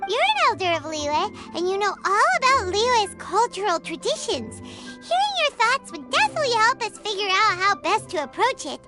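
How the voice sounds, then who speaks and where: A small fairy-like companion in a game. The voice is very high, squeaky and jumps around with excitement. Loud music fills the background. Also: female voice. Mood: chirpy, excited. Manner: squeaky, bubbly and fast-reacting. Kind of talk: game cutscene voice acting.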